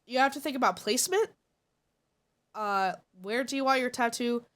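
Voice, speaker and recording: A woman's voice: a little high, moderate pace, a bit bright. A congested woman streamer chatting casually and giving blunt, opinionated takes. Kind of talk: casual live-stream chat.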